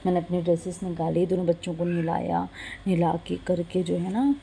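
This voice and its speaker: A young woman from India talks through her day in a relaxed, chatty way, slipping in English phrases and plenty of fillers. Her voice sits a little low and is hoarse and gravelly from a sore throat. A faint hiss of background noise runs under the recording. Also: moderate pace. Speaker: vlogger. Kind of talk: casual vlog narration.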